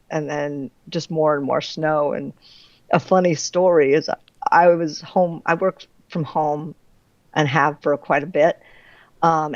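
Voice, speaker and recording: A middle-aged woman chatting casually as an author in an interview, animated and quick to laugh. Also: low voice, no echo, muffled recording. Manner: animated, laughs readily through her anecdotes.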